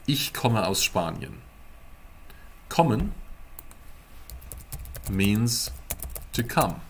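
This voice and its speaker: A man giving a casual live-streamed language lesson as a teacher, explaining word by word in a halting, deliberate way. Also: fairly low pitch, a little hiss or hum. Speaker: German teacher.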